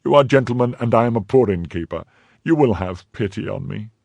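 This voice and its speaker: Male reader putting on a fretful innkeeper, pleading, pitched up, lively. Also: a little fast, vocal fry, dry recording. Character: poor whining innkeeper, fearful and fawning.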